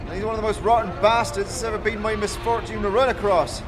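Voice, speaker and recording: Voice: medium pitch, steady tone, fast, voice pushed up, lightly acted. A man voicing a bitter, surly foundry worker who talks bluntly and sardonically.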